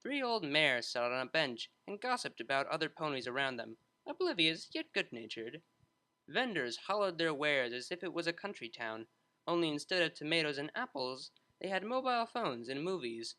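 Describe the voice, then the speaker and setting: A narrator reading a story aloud in a scripted, expressive storytelling style. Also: mid-range voice, normal speed.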